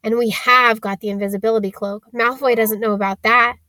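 Woman as a young storybook schoolboy hero, practical, resolute, urgent, slightly high, dry sound. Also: steady tone, brisk, bright, forceful tone, no room sound.

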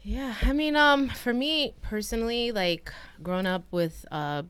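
A woman's voice: a little high, unhurried, a bit bright, smooth. A young American woman co-hosting a podcast, talking casually and reflectively with many fillers. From United States. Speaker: podcast co-host. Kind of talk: casual conversation.

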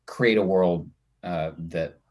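A man's voice: low-pitched, takes it slowly. A man speaking as a TV writer and guest in a casual panel chat.

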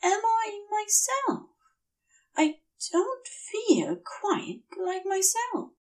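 Woman acting a dazed gentleman, high-pitched, soft, polite, fretful, feverish, hesitant, audio story character. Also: sing-song, drawn-out words, far from the mic, heavily acted. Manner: hesitant, plaintive. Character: feverish, muddled, fretful male being, plaintive and polite.

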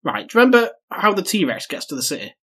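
A man co-hosting a podcast, animated and quick to laugh, swearing freely in casual chat. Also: sits high, husky. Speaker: podcast co-host.

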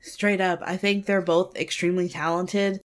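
A woman chats with her co-host, her voice slightly low and nasal. She talks bluntly and swears freely, with frequent giggles. She talks into a home recording mic. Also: flat delivery, normal speed. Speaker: podcast co-host. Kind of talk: casual chat.

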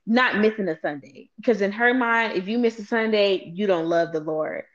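A woman in her late twenties hosts a relaxed chat with her sister. She is animated and playful and talks straight to her viewers. The recording sounds a little dull and lo-fi. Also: medium pitch, moderate pace. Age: 20s. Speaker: host. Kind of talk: casual chat.

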